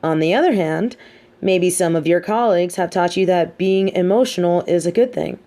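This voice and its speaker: A woman playing a sardonic, teasing supervillain with a hidden soft side, talking straight to the listener in an audio roleplay with theatrical, mocking emphasis. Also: fairly low pitch, moderate pace, lightly acted. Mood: musing, lecturing. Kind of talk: scripted audio roleplay monologue spoken to the listener.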